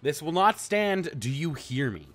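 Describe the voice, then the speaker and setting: A man's voice: fairly high pitch, big pitch swings, a little slow, faint music behind. Man acting an exasperated, bossy young dorm leader. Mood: furious, scolding. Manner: indignant, commanding, emphatic. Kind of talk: visual novel character read-out.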